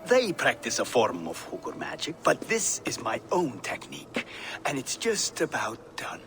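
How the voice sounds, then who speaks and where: A man's voice: mid-range voice, wildly swooping pitch, measured pace, fairly bright tone, heavily acted. An actor playing an eager, self-assured builder and rune magician in a video game, explaining his craft. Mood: absorbed, confident. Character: enthusiastic, skilled male builder and rune magician. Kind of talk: video game cutscene acting.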